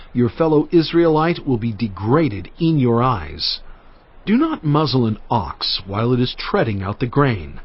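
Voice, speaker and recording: A man narrating scripture in a solemn, measured and emphatic dramatized reading. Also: mid-range voice, breathy edge. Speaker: Bible narrator. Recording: dull audio with music bed.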